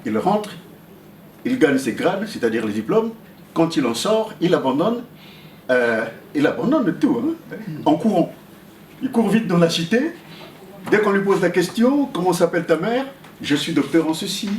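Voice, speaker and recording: A man's voice: on the high side, distant mic. A man from the Republic of the Congo, whose first language is Okutuba, speaks at a community meeting with many hesitant fillers, and his voice climbs sharply when he presses a point. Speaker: community member addressing a meeting. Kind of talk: impassioned public remarks. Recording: roomy sound from off-mic, faint hum.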